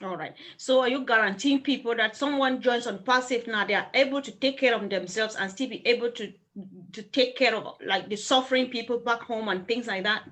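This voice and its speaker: A woman's voice: on the high side, fairly quick, fairly bright tone. A woman interviewing guests in a casual conversation, often stammering and repeating words as she asks questions.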